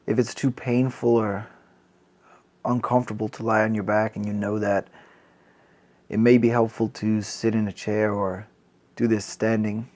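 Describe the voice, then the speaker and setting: A man guiding a body scan meditation as a mindfulness teacher, calm and gentle, unhurried, leaving long pauses. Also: fairly low pitch.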